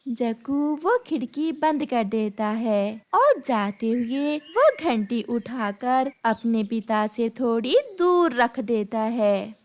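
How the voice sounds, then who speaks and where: Female storyteller for cartoon narration, high-pitched, reading at a very slow pace. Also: expressive.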